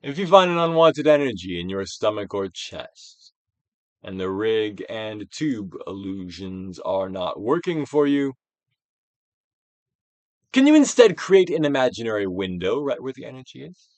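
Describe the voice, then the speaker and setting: A man's voice: fairly low pitch, sing-song, measured pace, no echo. A man giving a theatrical, declamatory dramatic reading of a self-help book, like a stage Shakespearean, drawing out phrases for emphasis. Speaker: audiobook reader, actor.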